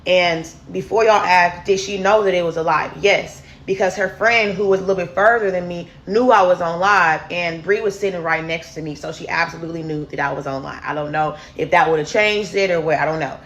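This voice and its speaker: A deep-voiced woman, candidly telling viewers a personal story in a casual storytime vlog. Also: a little fast, few pauses, a bit bright, a little hiss or hum. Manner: candid, chatty, addresses viewers as y'all. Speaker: storyteller host.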